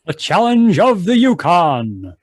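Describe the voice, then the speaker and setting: Animated old-time radio announcer, male. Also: high-pitched, slow pace, husky, no echo, studio quality. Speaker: radio drama announcer and narrator.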